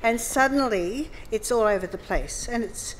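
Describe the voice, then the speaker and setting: A woman's voice: fairly high pitch, moderate pace, a little hiss or hum, poor audio. A woman speaking on a conference panel, emphatic and forthright in a conversational way.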